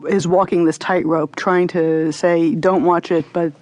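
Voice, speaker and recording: A woman's voice: lowish voice, flat delivery, unhurried, a little mellow, no echo. Woman interviewer, dry close sound. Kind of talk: conversational interview.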